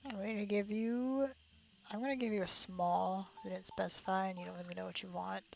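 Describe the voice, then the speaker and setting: A woman's voice: medium pitch, fairly even intonation, a little fast, creaky, lo-fi sound, slight background noise. A woman chatting casually and a little giggly as she livestreams a video game. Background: game music.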